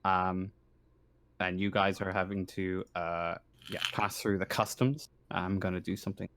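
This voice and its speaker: A man narrating a tabletop roleplaying game as game master, improvising in a halting way and often rephrasing himself. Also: deep voice, steady tone, noisy background.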